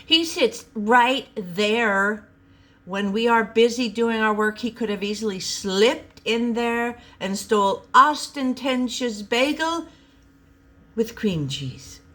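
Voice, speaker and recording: Mean male boss played by a woman storyteller: accusing, petty, dismissive, unhurried. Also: a little high, lively intonation, fairly bright tone, voice pushed up.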